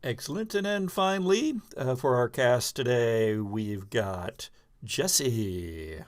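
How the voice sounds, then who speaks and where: A man's voice: medium pitch, lively intonation, slow. A man hosting a live podcast in a casual, conversational way as the game master.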